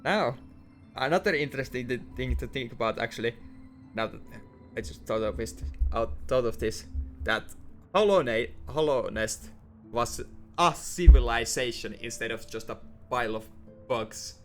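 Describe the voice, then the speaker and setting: A man's voice: medium pitch, moderate pace, faint music behind. A young man, being his language, commenting on a game as he plays it, thinking aloud and often restarting his words. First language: Finnish. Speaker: gaming YouTuber. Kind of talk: lore-focused game commentary.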